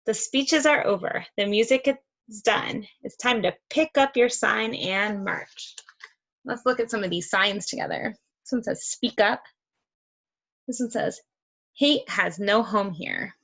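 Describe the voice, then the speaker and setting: A woman reading a picture book aloud to young children, expressive and encouraging, with conversational asides. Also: mid-range voice, measured pace, low-quality recording. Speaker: read-aloud host for children.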